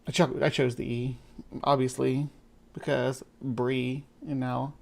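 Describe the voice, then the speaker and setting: A man's voice: medium pitch, vocal fry. A man hosting a casual live stream, talking in a rambling way with many fillers and restarts. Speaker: stream host.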